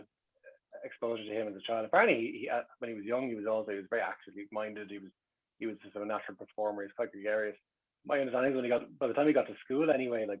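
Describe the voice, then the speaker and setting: An Irish man casually reminiscing about family as an interview guest, chatty and often correcting himself. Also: fairly low pitch. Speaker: guest, recalling his family. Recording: dull, lo-fi radio-like sound.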